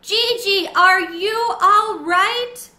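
A woman voicing a small, eager male hamster from a children's storybook, squeaky and exclaiming. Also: drawn-out words, pushed and bright, echoey room, exaggerated voice. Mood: alarmed.

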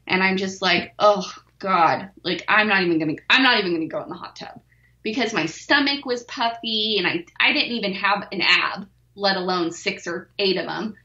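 A woman's voice: mid-range voice, normal speed, pushed and bright, echoey room, dull audio. A forty-year-old woman, a weightlifter, talking openly and self-deprecatingly as a podcast guest, laughing easily. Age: 40s. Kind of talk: candid podcast interview.

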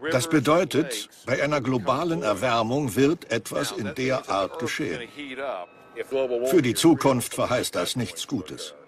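A man's voice: medium pitch, lively intonation, a little slow, a crowd in the background, lightly acted. A man explaining as an expert in a dubbed documentary. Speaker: prophecy expert.

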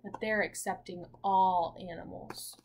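A woman in her twenties, a teacher reading a picture book aloud and asking children simple questions with pauses for answers. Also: lowish voice, steady tone, creaky, background noise. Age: 20s. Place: small room at home, indoors.

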